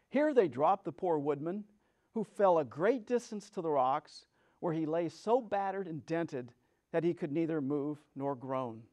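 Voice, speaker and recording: Higher-voiced man giving dramatic storybook narration. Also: darkish tone. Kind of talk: dramatic reading of a children's story.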